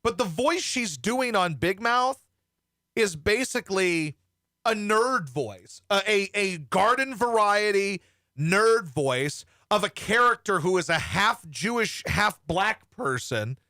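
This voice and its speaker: Bright-voiced, blunt male talk host. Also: sits high, expressive, slow pace, no room sound, clean studio sound. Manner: blunt, profane, rambling. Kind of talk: opinionated show banter.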